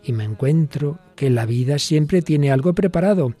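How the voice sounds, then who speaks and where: A man, a priest, hosting a religious radio talk show in a measured, reflective way. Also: on the high side, lively intonation, dark tone, breathy edge, soft background music. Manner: measured, thoughtful, quoting songs and authors.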